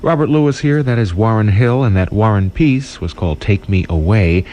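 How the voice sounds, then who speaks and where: A man with an American accent working as a radio DJ, presenting smooth jazz and short information updates as morning host. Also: lowish voice, normal speed, dark tone, lo-fi sound.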